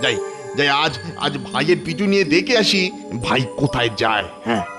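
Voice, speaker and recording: A greedy village schemer from a cartoon folk tale. His voice sits high and he mutters to himself with exaggerated repetition, jealous and grasping. Music plays clearly behind him. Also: very animated, brisk. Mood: suspicious, grumbling.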